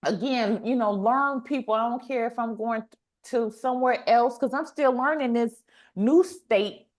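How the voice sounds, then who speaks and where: A woman hosting a podcast, chatting casually and motivationally in an animated way, repeating phrases for emphasis and acting out little quotes. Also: on the high side, unhurried. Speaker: podcast host.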